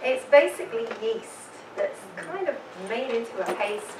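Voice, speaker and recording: A woman's voice: fairly high pitch, sing-song, normal speed, noisy background. A woman with a London English accent, moderating a panel and chatting with the audience, asking them questions. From England. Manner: chatty, engaging, asks the crowd questions. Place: event room with audience. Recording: roomy hall, voice from some distance.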